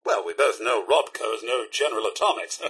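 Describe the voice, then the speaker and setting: An actor playing a fussy, loyal robot butler in a video game, with a British accent and a prim, formal, theatrically courteous manner. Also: male voice, mid-range voice, lively intonation, fairly quick, fairly bright tone, husky. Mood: genteel. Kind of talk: video game character dialogue.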